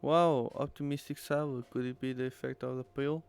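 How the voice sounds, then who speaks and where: A man voicing a devoted, upbeat visual novel companion with loud, emphatic delivery. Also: medium pitch, fairly even intonation, normal speed, creaky, clean tone, close to an everyday voice. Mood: upbeat teasing.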